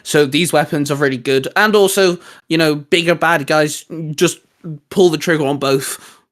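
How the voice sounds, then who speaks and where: A man with a slightly high voice hosts a relaxed, geeky chat. He talks in a loose, rambling way as he explains his reasoning. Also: normal speed. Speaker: host. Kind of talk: casual geeky discussion.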